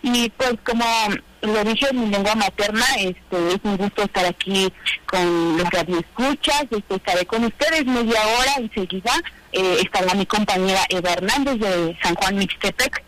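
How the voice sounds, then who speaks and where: A woman whose first language is Triqui, co-hosting a bilingual community radio show and speaking haltingly with frequent fillers. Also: mid-range voice, fairly bright tone, a little hiss or hum, rough recording. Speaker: radio co-host.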